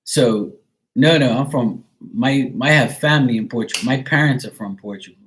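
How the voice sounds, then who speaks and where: A man's voice: medium pitch, normal speed, a bit bright, a little hiss or hum, poor audio. A man from Bermuda chatting casually on a live stream, telling rambling everyday stories with lots of fillers. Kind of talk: casual chat.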